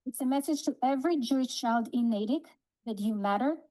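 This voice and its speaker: Woman, fairly high pitch, prepared public speech, earnest, deliberate phrasing, advocating parent. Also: warm tone, low-quality recording. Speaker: parent speaking at a public meeting.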